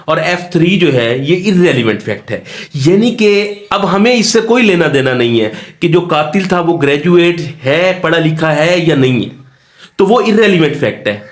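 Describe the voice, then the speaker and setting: A man teaches in a lecture style. His voice sits a little higher than average for a man. He is didactic, restating and rephrasing key points so that learners follow. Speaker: law lecturer. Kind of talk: exam-focused lecture mixing Urdu-Hindi and English terms.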